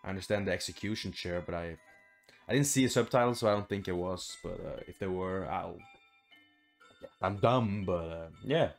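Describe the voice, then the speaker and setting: A man's voice: deep voice, normal speed, vocal fry, faint music behind. A young man giving casual, informal let's play commentary, thinking aloud and swearing as he plays. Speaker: gaming video host. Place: small room indoors at home.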